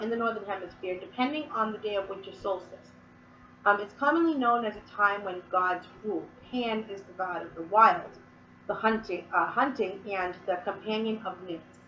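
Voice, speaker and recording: A woman's voice: medium pitch, fairly bright tone, distant mic, dull audio, a little hiss or hum. Chatty sick woman in an echoey room. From Massachusetts. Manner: chatty, rambling with many fillers. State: sick, sniffling and coughing. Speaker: Wiccan teacher. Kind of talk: casual explanatory talk to camera.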